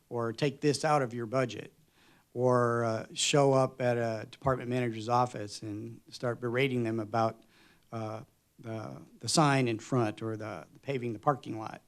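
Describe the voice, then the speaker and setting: A man answering as a candidate at a public forum, measured and full of pauses and fillers. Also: mid-range voice. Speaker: board candidate.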